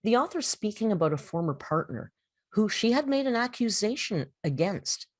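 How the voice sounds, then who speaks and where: A woman hosting a mock-serious poetry critique, explaining in a deliberate, theatrical, scholarly manner. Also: mid-range voice, big pitch swings, no echo, clean studio sound. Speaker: host giving a reading and critique. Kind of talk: mock-serious dramatic poetry reading and literary commentary.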